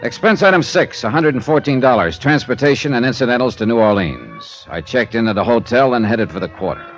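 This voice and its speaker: An actor playing a confident insurance investigator, breezy, wry and matter-of-fact, in 1950s radio drama style. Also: male voice, mid-range voice, expressive, a little fast, lightly acted. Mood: wry, narrating. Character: brisk, confident male freelance insurance investigator. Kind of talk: 1950s radio drama acting and first-person narration. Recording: muffled old radio recording with music.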